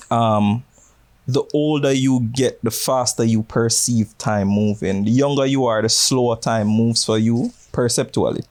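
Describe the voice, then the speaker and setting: A man in his thirties with a Jamaican accent, co-hosting a podcast in relaxed chat, switching between standard English and patois and laughing often. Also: mid-range voice. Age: 30s. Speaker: podcast co-host. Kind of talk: casual chat.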